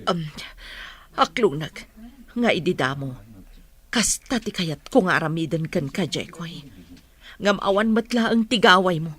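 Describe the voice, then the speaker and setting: A woman's voice: on the low side, animated, unhurried, pauses often, a bit bright, slightly rough, full character voice. A woman with an Ilocano Filipino accent acting a caring but frank middle-aged mother in a radio drama. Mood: reproving.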